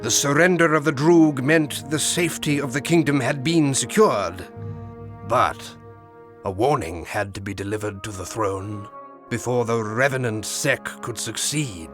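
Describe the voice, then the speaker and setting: An actor playing a solemn storyteller, reading scripted narration for a fantasy video game epic. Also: male voice, mid-range voice, unhurried, faint music behind, lightly acted.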